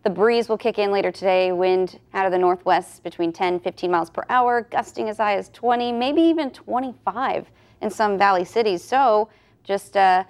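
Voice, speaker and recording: A woman's voice: mid-range voice, moderate pace, darkish tone. Friendly woman TV meteorologist. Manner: friendly, conversational, clear forecast delivery. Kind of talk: weather forecast and casual chat.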